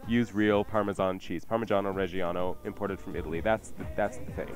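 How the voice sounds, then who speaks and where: A man's voice: low voice, fairly even intonation, a little fast. A man hosting a radio cooking show, chatting informally as he walks through recipes. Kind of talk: conversational recipe presentation. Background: music.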